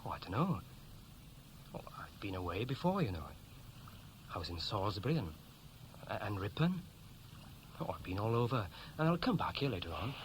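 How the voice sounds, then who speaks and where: A restless young Welshman in a sixties radio drama. His pitch swings freely as he hesitates and corrects himself, half shy and half boastful. The old recording carries clear background noise. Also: sing-song, normal speed, long pauses. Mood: awkwardly boastful. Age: young adult. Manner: hesitant, colloquial, self-correcting. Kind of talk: 1960s radio play acting, naturalistic dialogue. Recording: noisy vintage 1960s radio recording.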